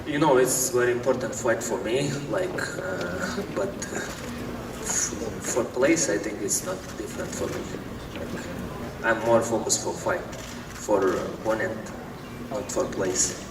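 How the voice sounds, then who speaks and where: A man in his thirties, a non-native English speaker, answering at a press conference in halting, broken English and searching for words. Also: on the low side, background noise. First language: not English. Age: 30s. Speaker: boxer. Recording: echoey hall, far from mic.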